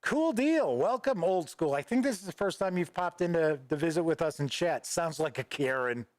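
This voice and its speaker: A man hosting a late-night livestream talks loosely and rambles while answering his viewers' comments. His voice sits a bit high for a man, and the sound is dry and close on a desk microphone at home. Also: lively intonation, moderate pace, a little mellow, no room sound. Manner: rambling, reads viewer chat aloud and responds offhandedly. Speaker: host of a gaming news livestream. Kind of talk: casual livestream chat. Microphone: studio microphone at a desk.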